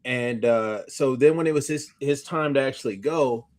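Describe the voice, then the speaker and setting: A man in casual podcast conversation with a mid-pitched voice. He talks in an animated, rambling way, often restarting and repeating words as he tells a story. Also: moderate pace. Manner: animated, rambling, stumbling over repeated starts. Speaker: podcast co-host. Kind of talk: casual chat, recounting anecdotes.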